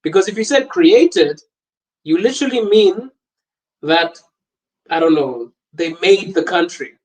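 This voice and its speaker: A young man from Botswana chats loosely on a livestream, answering viewer comments as he goes. His voice sits a little high for a man, and he laughs often and rambles between topics. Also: unhurried, rough recording. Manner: animated, laughing often, wandering and talkative. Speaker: podcast host on a livestream. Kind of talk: casual livestream chat reading viewer comments.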